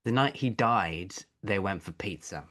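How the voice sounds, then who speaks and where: An actor playing a brusque, sceptical police detective in a stage play, speaking in a blunt, probing way. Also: male voice, low-pitched, animated, unhurried, fairly bright tone.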